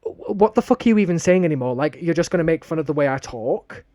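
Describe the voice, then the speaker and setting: A young man with a Sheffield accent, co-hosting a podcast and telling stories in a lively, sweary way. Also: on the high side, quick pace, dry recording, clean studio sound. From northern England. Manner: animated, sweary storytelling. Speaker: podcast co-host. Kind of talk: casual chat.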